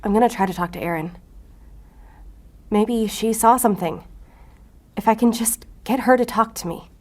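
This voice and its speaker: A woman plays a driven female special agent in a crime audio drama. Her voice is fairly high, and she talks bluntly and confrontationally, with a short temper. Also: normal speed, close to an everyday voice. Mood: frustrated. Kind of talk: audio fiction acting.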